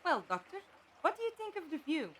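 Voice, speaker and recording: Woman playing a high-voiced, frank, inquisitive captive. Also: sing-song, fairly quick, slight background noise, full character voice, rough recording. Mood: animated. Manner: conversational, direct, gently wry. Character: frank, inquisitive female captive, practical and wry. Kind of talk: audio drama acting.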